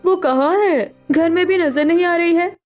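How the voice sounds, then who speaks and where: A woman voices an outspoken young newlywed in a cartoon family story, caring and direct. Her pitch is very high, and faint music plays behind her. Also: a little slow, a little mellow. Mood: curious. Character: outspoken, concerned young newlywed Indian daughter-in-law. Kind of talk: cartoon family drama voice acting.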